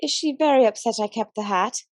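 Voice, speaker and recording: A woman's voice: fairly high pitch, a little fast, dry recording, studio quality, close to an everyday voice. A woman playing a keen, innocent young girl helper in a period radio mystery, naive and enthusiastic. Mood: delighted, curious. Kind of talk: period radio play acting.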